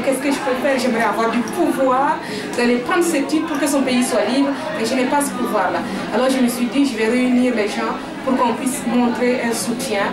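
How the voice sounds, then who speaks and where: A woman from Burkina Faso who organized the event, giving an earnest rally speech and then answering questions, often restarting her sentences. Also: a little high. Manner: earnest and rousing, with frequent restarts. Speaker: event organizer and local councillor. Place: large indoor hall at a gathering. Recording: echoey hall, distant mic, loud crowd. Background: loud crowd.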